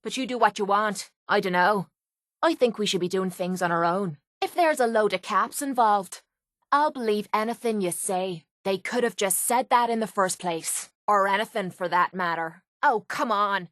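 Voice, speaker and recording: Video game companion: actress as a young Irish woman brawler, blunt, sarcastic, hard-drinking, mid-pitched, recorded close and dry. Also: moderate pace, fairly bright tone, no echo, natural voice. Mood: wry, then scornful, annoyed. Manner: blunt, mocking, scrappy.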